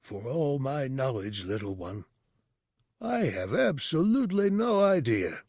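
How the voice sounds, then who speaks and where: A man voicing an ancient disembodied monk's brain, a deep, formal, serene teacher with a bemused manner. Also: sing-song, a little slow, a little mellow, breathy edge, dry recording, clean studio sound, full character voice. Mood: amused.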